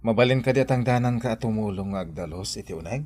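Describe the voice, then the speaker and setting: An actor playing a friendly, kindly young employer in an Ilocano radio drama, with an Ilocano accent. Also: male voice, on the low side, fast talker, a little mellow, no echo, natural voice.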